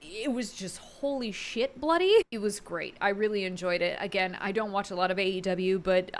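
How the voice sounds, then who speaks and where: A woman's voice: medium pitch, normal speed. Chatty, enthusiastic woman vlogger. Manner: enthusiastic, informal. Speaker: wrestling fan vlogger. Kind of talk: casual chatty review commentary.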